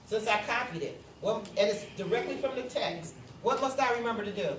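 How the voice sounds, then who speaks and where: A woman's voice: a little high, animated, fairly quick. A woman teaching a classroom lesson, explaining step by step, repeating herself and checking that students understand. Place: classroom, indoors. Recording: roomy, noisy classroom, distant mic.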